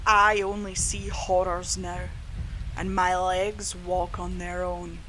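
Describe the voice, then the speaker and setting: A woman reading a scripted horror story in a theatrical, measured way. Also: fairly low pitch, level tone, bright, forceful tone, poor audio. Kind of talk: scripted dramatic horror narration. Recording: clear background noise, ambience.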